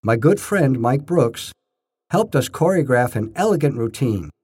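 An older man narrating his own scripted first-person stories in short, measured phrases with a storyteller's emphasis. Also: mid-range voice, big pitch swings, a little mellow, slightly rough.

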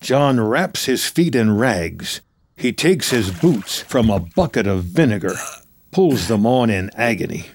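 A man's voice: lowish voice, very animated, a little slow, slight background noise. A man reading scripted screenplay narration as the narrator of a drama.

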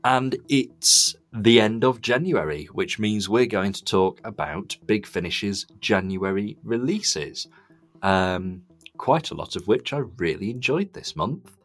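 A man's voice: on the low side, lively intonation, takes it slowly, faint music behind. A man hosting a podcast, chatting casually and enthusiastically as he gives his reviews. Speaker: podcast host.

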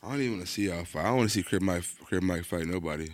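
A man with a fairly low voice talks quickly and bluntly, teasing and pushing back at the others in loose podcast banter. He keeps needling them with short, challenging jabs. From Ontario, California. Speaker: podcast co-host. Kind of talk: casual chat.

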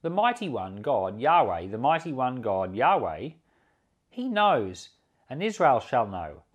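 Expressive man reading scripture aloud. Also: medium pitch, measured pace. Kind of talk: solemn Bible reading.

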